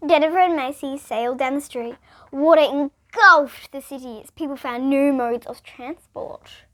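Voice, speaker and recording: A female reader, reading a children's picture book aloud in an expressive, emphatic way. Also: high voice, unhurried.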